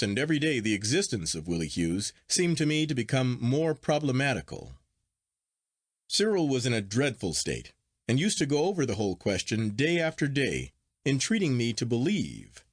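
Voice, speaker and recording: A man reading a literary story aloud as an audiobook narrator, in a measured, articulate and expressive storytelling manner. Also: medium pitch, no room sound, clean studio sound. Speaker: audiobook reader.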